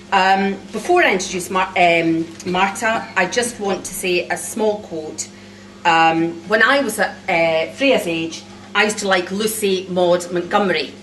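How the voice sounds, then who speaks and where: A woman speaking as an author at a live launch, giving thanks to an audience in a halting way with frequent pauses. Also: mid-range voice, bright, forceful tone. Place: cafe event room, indoors. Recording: echoey room recorded from far off.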